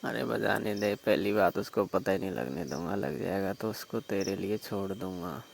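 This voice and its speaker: A man acts a flirtatious Rajasthani village brother-in-law with a lowish, heavily creaky voice. He coaxes persistently and informally, as in a late-night phone call. Faint noise and night insects sit behind him. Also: moderate pace. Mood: casual, flirtatious. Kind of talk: staged phone-call role-play in casual talk.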